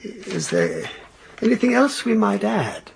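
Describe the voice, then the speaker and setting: An actor playing a fussy, hesitant Victorian defense attorney in a radio drama, speaking formally and haltingly with a husky, creaky voice. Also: male voice, high voice, huge pitch swings, slight background noise, heavily acted. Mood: earnest.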